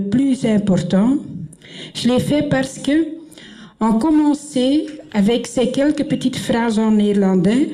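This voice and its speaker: A Flemish woman whose first language is Dutch, reading a prepared conference speech in a halting way and often correcting herself. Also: medium pitch, mellow, low-quality recording. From Flanders, Belgium. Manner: halting, with frequent self-corrections and restarts. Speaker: former politician giving a conference speech. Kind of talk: prepared speech read from paper. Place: conference in Paris.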